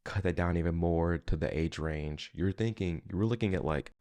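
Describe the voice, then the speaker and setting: A man's voice: low voice, normal speed, dark tone. A man with an American accent giving casual reaction commentary in an informal, emphatic way. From United States. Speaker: reaction video host, commentator.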